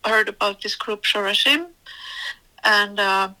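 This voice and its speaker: Bright-voiced woman. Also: mid-range voice, measured pace, poor audio. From Finland. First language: Finnish. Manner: simple phrasing, hesitant with fillers. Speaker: guest. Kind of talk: testimony interview.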